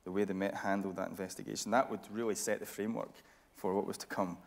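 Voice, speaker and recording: A man hosting an on-stage interview, phrasing his points in a halting, thoughtful way. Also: on the low side, flat delivery, vocal fry. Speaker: interviewer and host.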